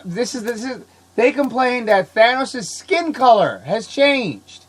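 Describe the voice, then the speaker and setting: A man hosting a casual podcast, sick and coughing, talking in an excitable, rambling way and laughing often. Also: very high voice, takes it slowly. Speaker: podcast host. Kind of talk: casual chat.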